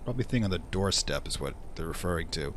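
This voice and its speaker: Low-pitched male gaming host, faint noise. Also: animated, fast. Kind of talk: let's play commentary, reading game text aloud.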